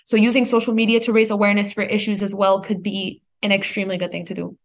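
A woman's voice: mid-range voice, little pitch movement, fast. A teenage young woman, a student guest, giving a conversational interview answer. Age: teens.

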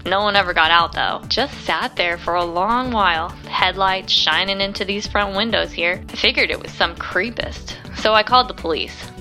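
An actress playing a friendly, chatty young diner waitress in an audio drama, with an informal, chirpy manner. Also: female voice, mid-range voice, moderate pace, hardly any pauses, pushed and bright, faint music behind. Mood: chatty recounting. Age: young adult.

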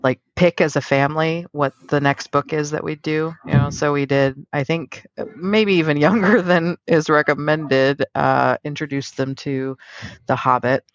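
A middle-aged woman hosts a casual podcast conversation with a creaky edge to her voice. She speaks reflectively, in a close, dry recording that sounds a little muffled. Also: sits low, fairly even intonation, moderate pace, no room sound. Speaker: podcast host.

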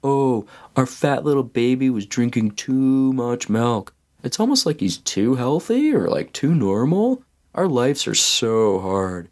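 A man's voice: medium pitch, sing-song, unhurried, darkish tone, voice pushed up. A man voicing a sarcastic parody of a whiny, self-pitying parent in a comedic story, drawing words out. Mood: mock whining.

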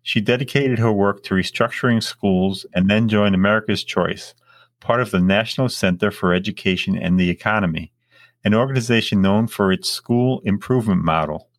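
A man's voice: fairly low pitch, normal speed, no echo, clean studio sound. A middle-aged man, co-hosting a podcast with scripted lines and wry, joking asides. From Long Island, New York. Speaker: podcast co-host. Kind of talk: scripted introduction with casual asides.

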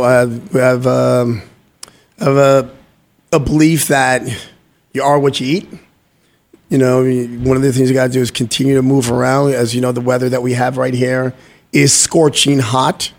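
A man's voice: medium pitch, fairly even intonation, moderate pace. Upbeat man, sayings-driven interview guest. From Guyana. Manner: upbeat, talks in motivational sayings. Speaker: guest entrepreneur. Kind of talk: casual interview chat.

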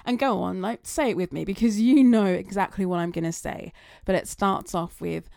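A woman talking through her thoughts in a chatty, rambling monologue that wanders onto tangents, her voice getting slightly raspy. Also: medium pitch, moderate pace, mellow, dry recording, clean studio sound. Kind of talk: casual reflective monologue.

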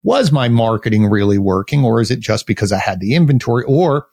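A man with a loud, big voice, a marketing agency owner speaking as a podcast guest, explaining earnestly in long, rambling sentences. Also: medium pitch, big pitch swings, a little fast, no echo, studio quality. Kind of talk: casual interview chat.

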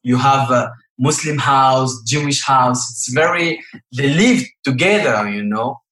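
A middle-aged man answers interview questions in halting English. He keeps pausing with "uh" and "you know". His voice sits at an ordinary pitch, and it rings out in an echoey room. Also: a bit bright. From Morocco. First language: French. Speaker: guest film director.

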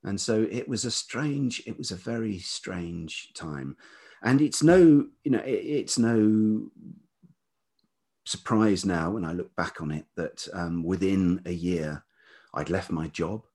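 A man's voice: low-pitched, slow, a little mellow, slightly rough. An older man, telling personal stories reflectively as an interview guest, in a hesitant, thoughtful way. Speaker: podcast guest, writer and musician.

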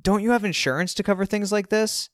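A man plays a spoiled, dramatic grown son in an audiobook. The voice is pushed up high and emphatic, indignant and pleading, with a clean, smooth tone. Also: slow pace, dry recording, studio quality. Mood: exasperated pleading. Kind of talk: audiobook character dialogue.

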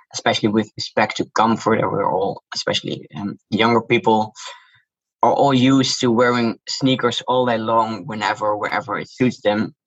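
A low-voiced man with a Dutch accent explains his business in a calm, measured interview manner. His voice is husky. The recording sounds dull and lo-fi. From Netherlands. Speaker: shoe brand founder, guest. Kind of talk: interview answers.

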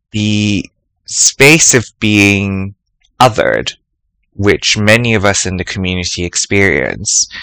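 A man presenting a reflective spoken essay for an academic symposium podcast, thoughtful and measured, often pausing to choose his words. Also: on the low side, pushed and bright, smooth, dry recording, low-quality recording.

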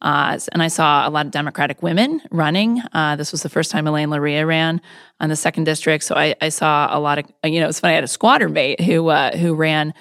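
Fifties woman from Florida, low-pitched, candidate answering questions earnestly, dry close mic. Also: steady tone, a little fast, a bit bright, dry recording. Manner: earnest, conversational, frequent restarts. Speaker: political candidate. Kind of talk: interview answers.

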